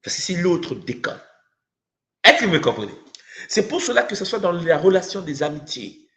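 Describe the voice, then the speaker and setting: Man, middle-aged, from Congo, spiritual teacher, animated pitch, loud bursts then quiet murmurs. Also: normal speed. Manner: expressive, swings between loud emphatic bursts and low murmurs.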